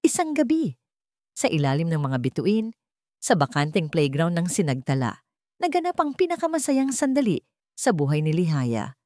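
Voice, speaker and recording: Low-voiced Filipino woman narrating, close and dry. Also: huge pitch swings, normal speed, a little mellow, no echo. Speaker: narrator of audio love stories. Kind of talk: scripted dramatic story narration in Tagalog.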